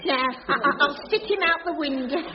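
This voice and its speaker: A woman's voice: high-pitched, fairly quick, heavy background noise, close to an everyday voice. A woman playing a doting, scatty British cleaner with a fussing, chatty manner in a 1980s radio sitcom. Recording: muffled radio recording before loud studio audience.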